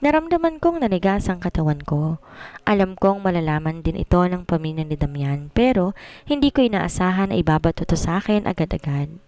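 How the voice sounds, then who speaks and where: A woman's voice: fairly low pitch, animated, fairly quick, dark tone, dry recording. A woman with a Filipino accent reading a dramatic first-person romance story as scripted narration.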